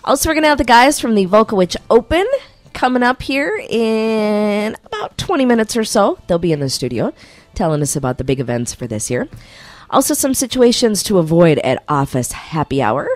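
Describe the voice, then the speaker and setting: A woman hosting a morning radio show, chatty and quick to laugh, losing her voice. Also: medium pitch, very animated, no room sound. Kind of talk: casual morning radio banter. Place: radio studio. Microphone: studio microphone.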